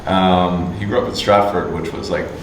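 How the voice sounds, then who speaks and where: A man from Canada answering interview questions casually, halting with many fillers and restarts. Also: low voice, steady tone. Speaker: musician and agency owner. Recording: distant mic, echoey room, faint hum.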